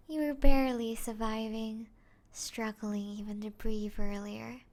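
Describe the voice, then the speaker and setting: A woman voicing a seductive, teasing female vampire in an intimate ASMR roleplay, breathy and often close to a whisper. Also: on the high side, level tone, takes it slowly, a little mellow. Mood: seductive, smug.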